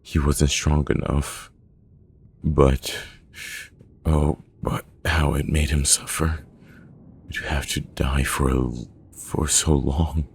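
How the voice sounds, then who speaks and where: A man acts out a trapped man broken by terror in a horror audio log. His very low voice is thick with gravel, and he sobs and pleads in stuttering, repeated words. Faint noise sits behind him. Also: fairly even intonation, slow pace, exaggerated voice. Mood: sobbing, pleading. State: crying, panicking. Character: terrified, sobbing trapped man, frantic and broken.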